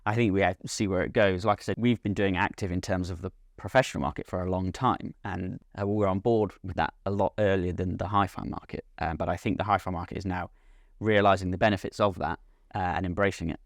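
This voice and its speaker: A man speaking as a company representative in an interview, giving measured, unscripted technical explanations of a product. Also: deep voice, steady tone, no echo, studio quality. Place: at a hi-fi trade show.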